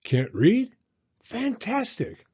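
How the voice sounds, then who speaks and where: A man's voice: extremely high pitch, very animated, drawn-out words, mellow, breathy edge, dull audio, pitched above the performer's own voice, full character voice. A man voicing a cheerful, eager little female bird teacher in a children's storybook, chirping with exclamatory enthusiasm. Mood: delighted, eager. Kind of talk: children's picture book character voice.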